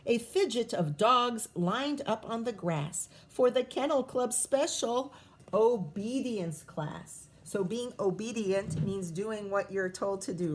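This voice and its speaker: A woman teacher reads a storybook aloud to young children. Her voice sits fairly high and her pace is very slow. She is expressive and breaks off to put questions to her listeners. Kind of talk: children's picture book read-aloud with asides.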